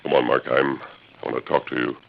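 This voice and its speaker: Masked western hero, bass and gravelly, stern authority, muffled vintage radio sound. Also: male voice, level tone, brisk, exaggerated voice. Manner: firm, authoritative. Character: masked heroic western lawman, stern and commanding. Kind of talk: 1940s radio western acting. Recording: muffled 1940s radio recording, hiss.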